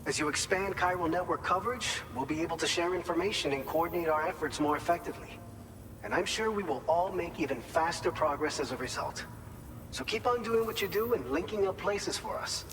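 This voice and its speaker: A man's voice: a little high, fairly quick, a bit bright, close to an everyday voice. A man playing an upbeat, chatty distribution center staffer, briefing a courier in an informal, explanatory way in a video game cutscene. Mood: enthusiastic pitch. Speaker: briefing officer. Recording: noisy ambience, long hall reverb.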